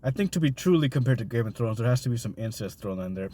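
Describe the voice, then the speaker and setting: A man's voice: medium pitch, expressive, fast talker, warm tone, a little hiss or hum. A man co-hosting a casual podcast, joking and laughing often as he recaps a convention. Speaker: podcast co-host.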